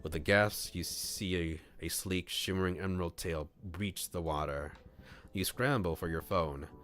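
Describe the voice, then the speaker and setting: Deep-voiced man reading a story aloud. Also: a little slow, fairly bright tone. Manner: playful, acts out dialogue between narration. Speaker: streamer reading a visual novel. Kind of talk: expressive read-aloud narration of a visual novel. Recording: music behind the voice. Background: game music.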